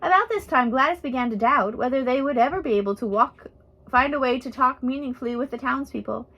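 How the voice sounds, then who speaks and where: A woman reading a biographical storybook aloud as scripted narration, in an expressive storytelling manner. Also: high voice, brisk. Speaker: audiobook reader.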